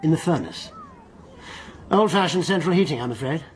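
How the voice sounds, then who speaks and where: A man's voice: on the high side, expressive, moderate pace, slight background noise, natural voice, poor audio. A man playing a formal polite headmaster. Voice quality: polite, formal and a touch evasive. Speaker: school headmaster. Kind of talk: radio drama acting.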